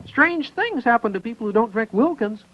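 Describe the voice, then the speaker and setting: A man voicing a small, cheery male puppet who pushes coffee in a chipper sales pitch with menacing punchlines, in an old TV commercial. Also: expressive, normal speed, background noise. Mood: chipper, pushy. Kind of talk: 1950s TV commercial puppet skit. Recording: dull lo-fi vintage commercial audio.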